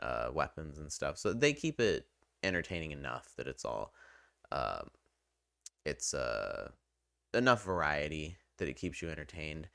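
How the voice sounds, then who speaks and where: A man's voice: extremely low pitch, vocal fry, fairly bright tone, no room sound, studio quality. A man giving casual, rambling game review commentary in a conversational, enthusiastic way. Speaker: gaming video host and reviewer.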